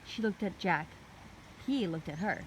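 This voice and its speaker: Woman reading a kids book out loud, slow n expressive, some noise behind her. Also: lowish voice, poor audio. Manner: expressive, deliberate storytelling. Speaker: storyteller reading aloud. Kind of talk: children's book read-aloud.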